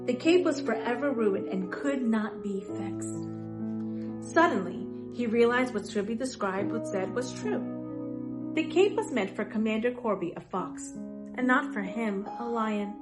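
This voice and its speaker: High-voiced woman reading a children's storybook. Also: lively intonation, unhurried, loud music behind the voice. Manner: dramatic, emphatic word-by-word delivery. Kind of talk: expressive children's storybook narration.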